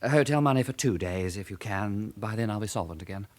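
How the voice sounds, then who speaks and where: Actor as nervy, animated English con man. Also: fairly low pitch, fast talker, lightly acted. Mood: anxious. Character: nervy, well-spoken male con man on the run. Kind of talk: British radio drama acting.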